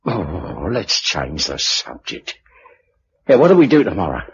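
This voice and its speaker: A man's voice: low voice, huge pitch swings, measured pace, vocal fry, full character voice. An actor playing a wry, easygoing working-class man, colloquial and joking, in a mid-century radio drama. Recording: dull, hissy old radio recording.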